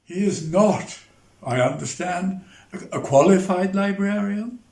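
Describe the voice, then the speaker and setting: A man's voice: high-pitched, unhurried, creaky, a little mellow. A man playing a fussy, pompous older clergyman in a dramatized novel reading, speaking formally. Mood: complacent. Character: fussy, genteel older clergyman uncle who loves jelly. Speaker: amateur actor in dramatized reading. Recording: echoey room sound.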